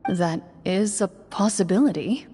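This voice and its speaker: A woman playing a serious, composed female duelist in a video game, speaking in a measured, matter-of-fact way. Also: medium pitch, dark tone, big-room sound, faint music behind, close to an everyday voice.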